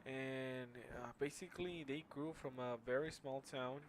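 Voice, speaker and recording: A young man whose first language is Spanish, giving a class presentation in a halting way with many fillers and restarts. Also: medium pitch, slight background noise. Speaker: student presenter.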